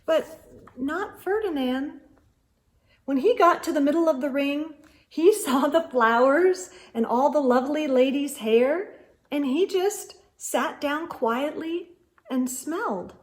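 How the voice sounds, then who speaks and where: Woman, higher voice, slow pace, expressive children's storybook reading, emphatic, addresses young listeners. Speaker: storyteller host of a children's read-aloud channel. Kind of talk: children's storybook read-aloud.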